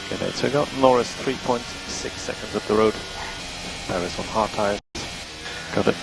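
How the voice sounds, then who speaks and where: A man working as a race engineer, giving measured, matter-of-fact instructions over team radio and repeating the key ones. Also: lowish voice, vocal fry, over music, rough recording. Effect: team radio transmission. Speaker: Formula One race engineer. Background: vehicle and engine noise.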